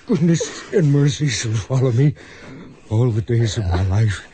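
A man's voice: mid-range voice, expressive, measured pace, warm tone, natural voice. An actor playing a steadfast, protective frontier father in a radio western, plain-spoken and earnest. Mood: solemn. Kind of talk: radio western drama acting.

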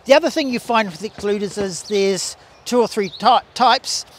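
A man's voice: sits high, normal speed, fairly bright tone, a little hiss or hum. A man in his sixties with a New Zealand accent, a bee scientist explaining hive equipment outdoors in a garden, talking with restarts and gestures. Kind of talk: outdoor demonstration talk. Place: garden outdoors beside beehives.

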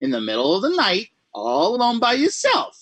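A man's voice: high voice, huge pitch swings, normal speed, a bit bright, slightly rough, no echo, full character voice. A man playing a jumpy writer alone at night, rambling and mocking himself in 1940s radio acting style. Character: jittery lone male writer talking to himself.

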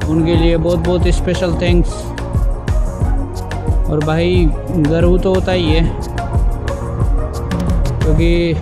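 A young man, hosting a casual vlog outdoors and chatting straight to his viewers with slang. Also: on the high side. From Hadoti region, Rajasthan. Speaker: vlogger host. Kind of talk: casual vlog chat while riding. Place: outdoors on city streets. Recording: loud music playing under the voice. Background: hip hop music.

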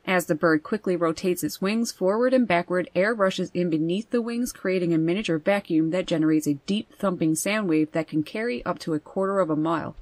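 A woman host reading scripted nature narration over wildlife footage in a measured, informative way. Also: fairly low pitch, a little mellow, no echo. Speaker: birding channel host.

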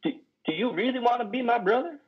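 A man in his thirties playing a lonely, guilt-ridden, simple-minded trucker in a play reading, with halting, folksy speech. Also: very high voice, expressive, slightly rough, heavily acted. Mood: haunted, confessing. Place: indoor room on a video call. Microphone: headset. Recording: muffled video-call audio.

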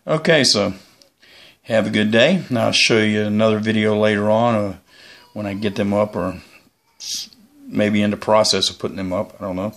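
Low-pitched man, rambling casual vlogger. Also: moderate pace, long pauses, fairly bright tone. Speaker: YouTuber presenting his radio antennas. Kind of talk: casual unscripted product show-and-tell.

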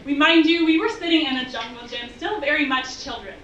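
A young woman performing spoken-word poetry on a bar stage, with measured, intense, rhythmic delivery. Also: sits high, sharp tone, slight background noise. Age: young adult. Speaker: slam poet. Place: bar stage venue, indoors. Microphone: stage mic on stand, filmed from afar. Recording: distant, dull, echoey room recording.

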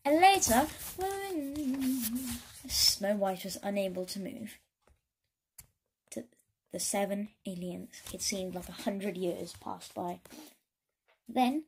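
A woman storyteller giving an expressive read-aloud of a children's story. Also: mid-range voice, creaky, slight background noise.

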